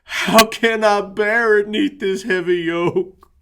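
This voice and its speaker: A heartbroken country father with homespun folksy speech, as a male storyteller puts him on. His voice sits high and strained above the narrator's own tone, and the words come out slowly and heavily. He sounds earnest and raw. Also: steady tone, a bit bright, smooth, heavily acted. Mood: grieving. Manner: rural folksy dialect, tearful lament.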